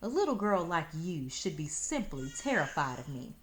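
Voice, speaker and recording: A woman's voice: low voice, big pitch swings, moderate pace. Woman voicing a pompous, sly storybook fox. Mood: disgusted, condescending. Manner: formal, condescending, affronted diction. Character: sly, pompous, smooth-talking male fox. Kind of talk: storybook read-aloud.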